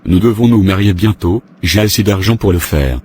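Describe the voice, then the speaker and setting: Low-voiced man playing an insistent lover. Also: moderate pace, darkish tone, slight background noise, close to an everyday voice. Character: impatient widowed male lover, insistent and sulky. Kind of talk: animated soap drama dialogue.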